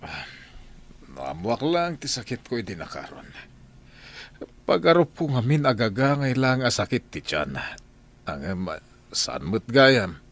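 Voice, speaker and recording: A man's voice: mid-range voice, sing-song, measured pace, pauses often, fairly bright tone, heavily acted. A man playing a wealthy, ailing doctor, humbled and rueful, in a radio drama. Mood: uneasy. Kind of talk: Ilocano radio drama acting.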